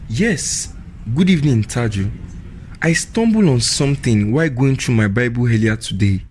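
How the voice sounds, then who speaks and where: A man's voice: medium pitch, lively intonation, moderate pace, darkish tone, slight background noise, natural voice. A Nigerian man playing an earnest, fatherly pastor in a radio drama, with a solemn, formal preaching manner. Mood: earnest, kindly. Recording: music behind the voice.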